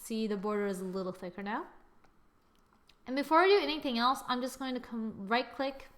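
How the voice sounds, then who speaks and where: A young woman with a fairly high voice walks through a screen-recorded software lesson. She chats casually and thinks aloud as she works, teasing her own results. It sounds like a computer microphone at a desk. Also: brisk. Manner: chatty, self-mocking, thinks aloud while clicking. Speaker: software tutorial presenter.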